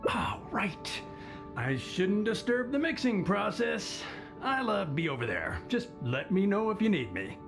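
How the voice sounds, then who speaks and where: Fussy genteel winery manager, anime game, pitch swoops all over, effusive, music behind. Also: male voice, big pitch swings, a little slow, gravelly, heavily acted. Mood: flustered.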